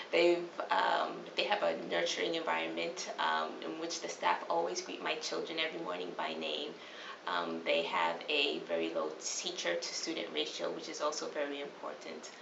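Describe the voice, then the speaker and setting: Low, gravelly-voiced woman. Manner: enthusiastic, with fillers. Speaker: parent. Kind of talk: casual testimonial. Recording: echoey dull recording, ambient noise.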